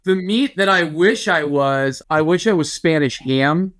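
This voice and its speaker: A man's voice: a little high, unhurried, a bit bright. A man co-hosting a podcast in casual chat, riffing playfully. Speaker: podcast co-host.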